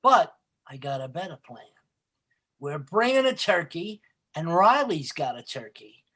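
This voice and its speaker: An actor playing a sly, hot-tempered working-class husband, excitable and sarcastic, in old-time radio sitcom style. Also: male voice, a little high, measured pace, slightly rough, full character voice.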